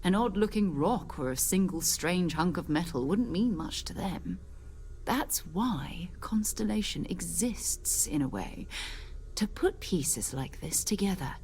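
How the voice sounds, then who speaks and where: Space captain for a game: lower register, composed authority, principled and earnest delivery. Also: female voice, lively intonation, measured pace, darkish tone, slight background noise. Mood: earnest, intrigued. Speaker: expedition leader. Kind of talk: video game character dialogue.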